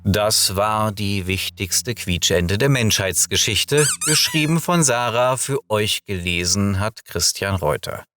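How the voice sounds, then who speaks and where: A man reading a short story aloud as a dramatic, expressive narrator. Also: lowish voice, takes it slowly, few pauses, slight background noise. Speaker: audiobook reader.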